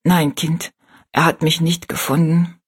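A woman voicing a tiny, kind and wise old woman who speaks softly, slowly and in a hushed way. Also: low voice, level tone, breathy edge. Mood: quiet. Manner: soft, slow and hushed.

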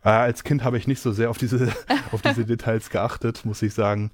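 A man's voice: medium pitch, little pitch movement, normal speed, dry recording. A man giving reflective, explanatory answers in a casual conversational interview. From Germany. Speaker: interview guest.

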